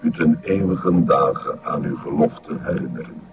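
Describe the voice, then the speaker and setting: An actor playing a solemn, commanding satanic cult leader in a television drama, speaking in a pompous, ceremonial manner. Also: male voice, a bass voice, steady tone, normal speed. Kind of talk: television crime drama acting. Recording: muffled lo-fi sound, music behind.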